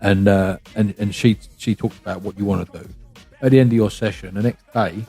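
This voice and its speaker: A man's voice: on the low side, steady tone, fairly quick, gravelly, warm tone, breathy edge, soft background music. A man chatting casually as a podcast panelist, blunt and matey, often checking in with a tag question. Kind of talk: casual chat.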